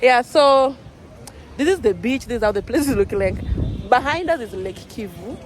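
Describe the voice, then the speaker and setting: A woman's voice: a little high, lively intonation, normal speed, slight background noise, poor audio. A young woman vlogging her travels, chatting casually and laughing often, filming with a handheld camera outdoors. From Kenya. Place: outdoors on a moving boat and a beach.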